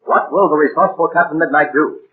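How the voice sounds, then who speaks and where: A narrow, tinny vintage radio recording of a male announcer. His fairly high voice delivers scripted serial narration in a dramatic, declamatory way. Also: fast talker. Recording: tinny, band-limited 1930s radio recording.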